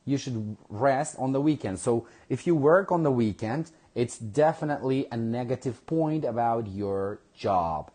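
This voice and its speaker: A man teaching a live online English lesson, speaking slowly and repeating phrases for his learners. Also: mid-range voice, animated, mellow, husky. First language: Kurdish.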